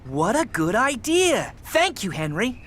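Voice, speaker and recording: A woman voicing an eager, earnest young male steam engine in a children's cartoon, with a polite, excitable manner. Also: fairly high pitch, unhurried, slight background noise. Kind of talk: children's cartoon character acting.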